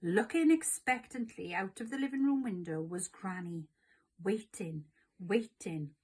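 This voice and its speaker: A woman narrating a children's storybook aloud in an expressive, scripted read-along style. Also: medium pitch, unhurried, slightly rough.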